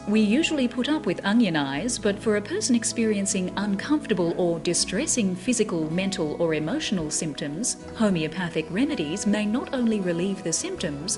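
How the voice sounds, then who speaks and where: A woman delivers scripted narration for a documentary. Her medium-pitched voice moves with lively intonation over clearly audible background music.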